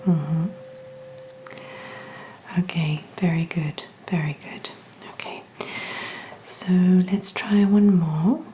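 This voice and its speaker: A woman speaking in a breathy whisper, gently reassuring and encouraging, as an examiner in an ASMR medical role-play. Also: fairly low pitch, slow pace, long pauses, slight background noise. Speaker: ASMR performer role-playing a hearing examiner.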